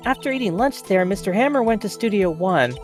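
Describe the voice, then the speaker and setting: A woman's voice: mid-range voice, lively intonation, brisk, a little mellow, faint music behind, lightly acted. A woman voicing a chatty, earnest young female studio assistant in an amateur video game dub. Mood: explaining.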